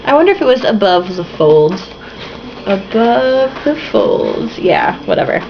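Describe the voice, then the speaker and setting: Young woman, tipsy sarcastic reader, lively swooping voice, dull lo-fi sound with faint hiss. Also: medium pitch, unhurried, vocal fry. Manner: giggly, sarcastic, swears in asides. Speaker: reader and commentator. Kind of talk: tipsy comic book reading with asides.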